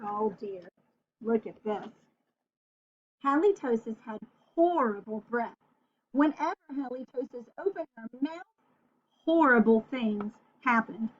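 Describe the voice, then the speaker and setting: A woman with a fairly high voice speaks slowly, as a teacher does with young children. She is expressive and sing-song, stretching key words and putting questions to the listener. The sound is a slightly dull, lo-fi home recording. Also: a little hiss or hum. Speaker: children's teacher and storybook reader. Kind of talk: read-aloud vocabulary lesson for young children.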